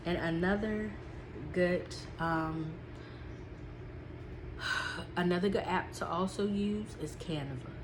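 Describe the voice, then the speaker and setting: A woman's voice: on the low side, slow pace, pauses often, background noise. A woman vlogger with a stuffy, sniffly nose, chatting casually from her notes with lots of ums and repeats. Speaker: small business vlogger.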